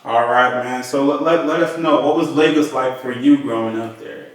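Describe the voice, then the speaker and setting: A man's voice: mid-range voice, moderate pace. Male interview host in an echoey room. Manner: informal, buddy-like address. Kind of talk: casual interview chat. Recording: very echoey room, distant microphone.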